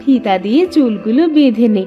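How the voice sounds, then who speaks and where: A woman voicing a gentle, consoling village mother in an animated fairy-tale cartoon, with a soothing, tender manner. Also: on the high side, fairly quick, highs cut off, soft background music, close to an everyday voice.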